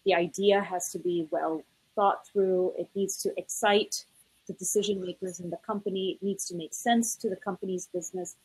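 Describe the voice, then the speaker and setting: Slightly husky woman, dry close sound. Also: lowish voice, steady tone, moderate pace, a little mellow, no echo, low-quality recording. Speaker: research manager on a panel. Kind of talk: conference panel storytelling.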